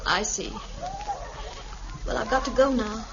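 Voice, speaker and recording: An actress playing a gentle, wistful young woman, polite and restrained, in an old-time radio drama. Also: on the high side, unhurried, background noise. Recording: muffled vintage radio recording with music behind.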